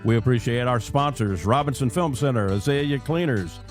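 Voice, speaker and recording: An American man hosting a conversational radio interview in a deliberate, emphatic way, repeating phrases for effect. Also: mid-range voice, faint music behind. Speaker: radio show host.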